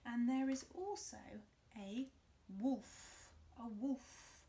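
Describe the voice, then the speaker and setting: A woman telling a story to small children in a fairly high voice. She goes very slowly, with expressive, demonstrative phrasing that invites them to join in. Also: a little hiss or hum. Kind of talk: interactive picture-book reading for young children.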